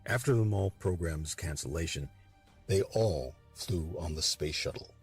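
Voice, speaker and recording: A man's voice: sits low, measured pace, husky. A man reading scripted documentary narration. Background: background music.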